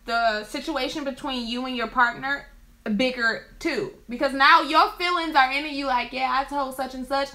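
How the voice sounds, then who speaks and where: A young woman co-hosting a casual couple chat, talking loudly and excitedly in a high-pitched voice, recorded in a small room. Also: moderate pace. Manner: aggressive talker. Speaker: co-host.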